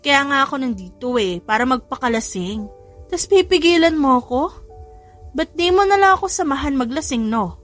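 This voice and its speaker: A woman's voice: sits high, animated, normal speed, soft background music. A woman voicing a heartbroken, drunk young woman in an audio drama, slurred and insistent. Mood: boldly insistent. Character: heartbroken, boozy young woman drowning sorrows at bar.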